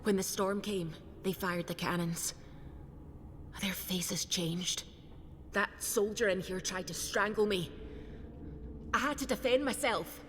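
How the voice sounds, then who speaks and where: A woman's voice: mid-range voice, slow, long pauses, fairly bright tone, in a large hall, a little hiss or hum. A woman playing a blunt, embittered smuggler held prisoner in a video game. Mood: grim, shaken. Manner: blunt, combative, sweary.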